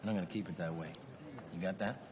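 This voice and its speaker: An actor playing a tough, cocky prison inmate in a cartoon film, blunt and sardonic. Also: male voice, low voice, fairly even intonation, quick pace, crowd noise, lightly acted. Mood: dry, dismissive. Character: tough, cocky, self-serving male prison inmate, wisecracking brawler. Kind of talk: animated film dialogue.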